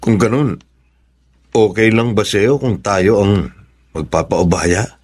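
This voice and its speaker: An actor playing a troubled, considerate husband-to-be in a Filipino radio drama, speaking hesitantly. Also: male voice, on the low side, takes it slowly, darkish tone, husky.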